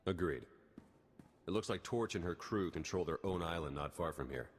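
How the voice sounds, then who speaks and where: An actor voicing an earnest male intelligence agent in a video game, giving a calm, focused briefing in a husky voice. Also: low voice, moderate pace, long reverb tail, soft background music.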